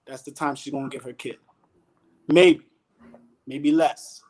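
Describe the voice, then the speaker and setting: A man joining a casual panel talk as a guest, animated and slangy, preaching his views and asking "you know what I'm saying" again and again. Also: medium pitch, measured pace, slightly rough.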